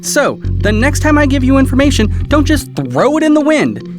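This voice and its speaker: A man's voice: very high voice, very animated, normal speed. A man playing an irritated, ambitious lawyer in an audio drama, scolding and defensive, with music behind him.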